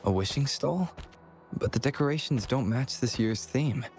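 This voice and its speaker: A thoughtful young man voiced by an actor, mid-pitched and calm. He speaks like an observant scholar in game dialogue, with clear music playing behind him. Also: normal speed, natural voice. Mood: calm, pensive. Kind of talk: video game voice acting. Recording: music underneath.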